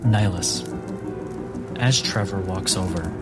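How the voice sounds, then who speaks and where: A man acting as game master, narrating events to the players in measured second person. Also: deep voice, over loud music.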